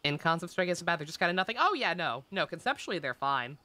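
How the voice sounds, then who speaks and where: Bright, animated, sarcastic game streamer. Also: medium pitch, fast talker. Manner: sarcastic, joking, sweary. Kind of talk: casual live-stream chat and game commentary.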